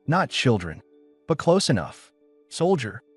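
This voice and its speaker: A man voicing a steady, protective ex-soldier in an audiobook, speaking in terse, firm instructions. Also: medium pitch, measured pace, slightly rough, dry recording, faint music behind, voice pushed up. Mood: firm, calm. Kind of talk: audiobook character dialogue.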